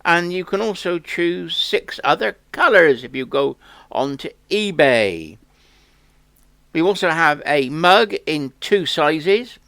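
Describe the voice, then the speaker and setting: A man's voice: a little high, lively intonation, takes it slowly, fairly bright tone. A man hosting a country sports channel, making an earnest, plain-spoken campaign appeal to viewers. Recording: echoey room.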